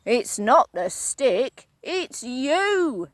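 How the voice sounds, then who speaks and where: A woman voicing a nasty old man who taunts slowly and with relish. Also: sits high, sing-song, no echo, heavily acted. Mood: gloating. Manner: slow, gloating and emphatic. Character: nasty gloating old man, cruel trickster.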